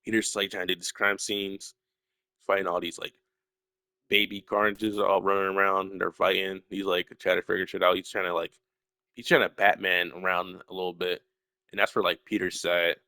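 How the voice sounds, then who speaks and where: A man's voice: deep voice, little pitch movement, normal speed, bright, forceful tone, dry recording. A young man with a stutter, talking casually and at length as a solo video creator, full of fillers and restarts. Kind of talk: rambling casual monologue.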